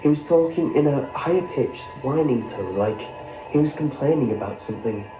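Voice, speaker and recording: A man reading a scripted first-person horror story in a measured storytelling way. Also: medium pitch, animated. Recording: roomy sound with music behind. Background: background music.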